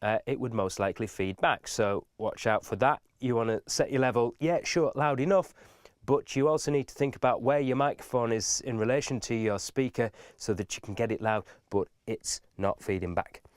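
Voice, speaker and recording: Male tutorial presenter, medium pitch, methodical and instructive, informal delivery, dry recording. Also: moderate pace, clear, resonant tone, dry recording. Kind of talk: informal step-by-step video tutorial.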